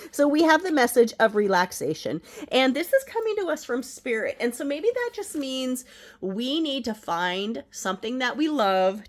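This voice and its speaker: A middle-aged woman speaks gently and soothingly from home, leading an oracle card reading. Her voice is congested and nasal from a head cold, and she lets her words stretch out slowly. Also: mid-range voice. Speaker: oracle card reader, channel host. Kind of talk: casual spiritual card reading. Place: at home, indoors.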